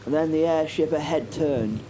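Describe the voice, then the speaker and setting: A man's voice: fairly high pitch, normal speed, background noise, lightly acted. A man voicing a plucky young English First World War pilot who narrates a horror audio drama in an upper-class English accent, rambling and stammering with self-deprecating asides. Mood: dramatic. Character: plucky young British WWI pilot, future wing commander.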